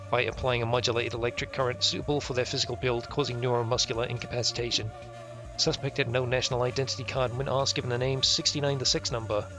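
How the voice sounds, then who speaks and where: A man streaming a visual novel, reading the text aloud with irreverent, offhand asides. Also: medium pitch. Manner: irreverent, ad-libs jokes and mutters reactions. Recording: music playing behind the voice. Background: game music.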